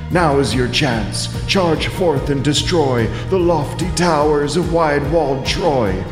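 A man playing a solemn divine dream messenger, chanting epic verse in an incantatory way, drenched in reverb. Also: on the high side. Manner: slow, incantatory, rhyming delivery. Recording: long echoing reverb, music underneath.

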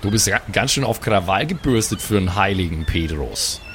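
Lively, enthusiastic man playing a tabletop game. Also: sits low, fairly quick, slight background noise. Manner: enthusiastic, teasing, chatty. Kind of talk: improvised in-character play.

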